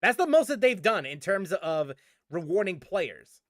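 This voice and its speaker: Brief: male gaming streamer, high voice, dry close sound, rambling frustrated rant. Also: big pitch swings, measured pace, fairly bright tone, dry recording. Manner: rambling, many restarts and fillers, frustrated. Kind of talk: casual stream commentary.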